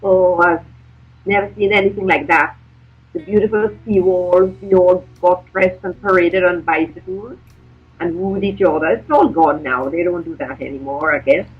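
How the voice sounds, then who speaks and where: Older woman, husky. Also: lowish voice, normal speed, muffled recording, soft background music. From Guyana. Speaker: guest. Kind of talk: remote interview, reminiscing.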